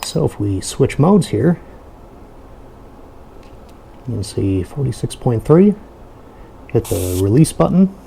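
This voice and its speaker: A man giving a casual hands-on product review, explaining things step by step in an unhurried way. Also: mid-range voice, very animated, vocal fry, dark tone, a little hiss or hum.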